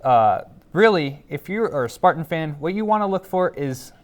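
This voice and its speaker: A man's voice: a little high, lively intonation, moderate pace. A man giving opinions as a panelist in a casual sports discussion, often repeating words as he goes.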